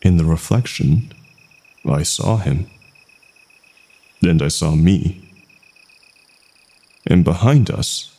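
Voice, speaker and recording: A man with an extremely low, husky voice narrates a scary story. He speaks slowly and in hushed tones, leaving dramatic pauses. His delivery has a breathy, gravelly grain. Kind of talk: scripted first-person horror narration.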